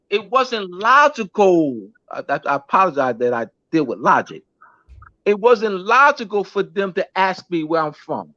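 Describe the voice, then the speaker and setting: A man, a schoolteacher, talking in a casual interview, halting with many restarts and fillers. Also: high-pitched, pushed and bright. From United States. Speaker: schoolteacher guest. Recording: dull lo-fi recording.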